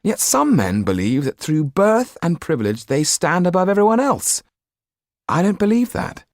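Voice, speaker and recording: A man's voice: sits high, big pitch swings, normal speed, no room sound, studio quality, voice pushed up. A man voicing an earnest, passionate English youth of seventeen in an audiobook story. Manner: earnest, impassioned. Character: idealistic, passionate seventeen-year-old young man.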